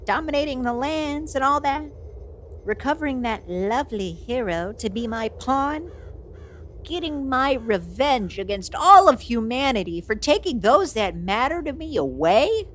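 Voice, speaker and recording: Woman playing a high, haughty, flustered villain sorceress. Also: animated, unhurried, fairly bright tone, a little hiss or hum. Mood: grandiose. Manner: haughty, theatrical, defensive. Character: proud, flustered young-looking immortal villain sorceress. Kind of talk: fantasy audio roleplay acting.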